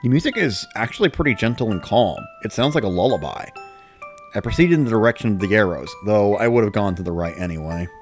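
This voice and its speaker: Low-voiced man narrating with lively pitch. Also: fast talker, faint music behind. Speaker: narrator of a dramatic reading. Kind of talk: scripted first-person story narration, video game diary.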